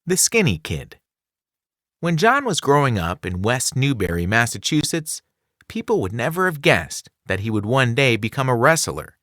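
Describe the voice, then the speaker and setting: Animated, bright-voiced male narrator. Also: medium pitch, moderate pace, no room sound, studio quality. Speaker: audiobook reader. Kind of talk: scripted read-aloud narration of a children's nonfiction book.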